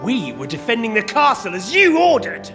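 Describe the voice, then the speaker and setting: An actor playing a smug, treacherous soldier in a video game, with a sly, mocking manner. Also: male voice, high-pitched, huge pitch swings, moderate pace, pushed and bright, soft background music, heavily acted. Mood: indignant, defiant. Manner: sly, mocking, swinging between barking and silky. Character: smug, treacherous captured soldier serving a king. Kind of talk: video game cutscene acting.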